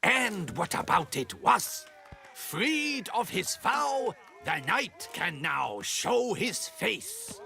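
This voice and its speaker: An actor playing a flamboyant tournament herald in a fantasy video game, grandiose and declamatory. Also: male voice, high-pitched, sing-song, extremely slow, gravelly, sharp tone, exaggerated voice. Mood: grand, ceremonious. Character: flamboyant male tourney herald addressing lords and ladies.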